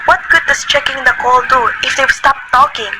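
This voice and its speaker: A woman acts a fretful bedridden wife in a radio play, high-voiced and imperious. Her lines pour out anxious and demanding. A loud hiss runs under the whole recording. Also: moderate pace, natural voice. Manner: shrill, agitated, imperious. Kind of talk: amateur radio play acting.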